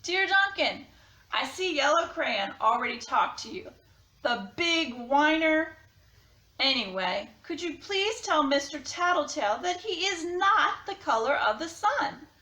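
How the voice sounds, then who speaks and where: Woman putting on a cocky, bright-voiced orange crayon. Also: high voice, sing-song, a little slow, roomy sound, full character voice. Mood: sneering, smug. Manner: sneering, boastful. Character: snide, cocky orange crayon writing a rival's complaint. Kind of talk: read-aloud storybook character.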